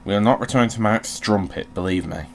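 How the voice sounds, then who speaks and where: A man's voice: on the low side, fast talker. A man giving casual video game commentary, swearing and grumbling jokily as he plays. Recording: clearly noisy background.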